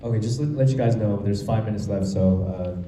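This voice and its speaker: A man with a deep, mellow timbre speaks hesitantly on a convention panel, often apologizing. His voice echoes in a large hall with faint background noise. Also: brisk. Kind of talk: casual panel Q&A.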